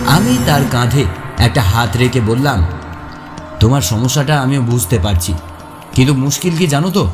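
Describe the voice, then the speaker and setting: A man narrating a first-person horror story in an expressive, suspenseful, dramatic way. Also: medium pitch, moderate pace, long pauses. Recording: echoey long reverb, faint music behind. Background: faint music.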